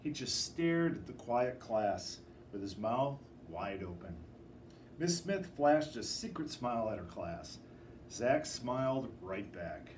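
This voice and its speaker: Male picture-book reader, mid-pitched and very animated, theatrical delivery, faint noise behind. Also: roomy sound. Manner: expressive, dramatic storytelling. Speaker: storybook reader. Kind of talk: children's picture book read-aloud. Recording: distant microphone with faint background noise.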